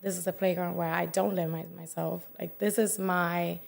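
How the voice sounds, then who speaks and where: Chatty young woman with a gravelly edge. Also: on the low side, little pitch movement. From Dominican Republic. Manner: quick, chatty, many "like" fillers. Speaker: wellness coach guest. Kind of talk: casual interview chat.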